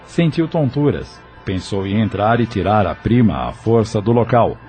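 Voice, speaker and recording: Mellow, expressive male story narrator. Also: on the low side, normal speed, soft background music. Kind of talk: dramatic scripted narration of an audio novel.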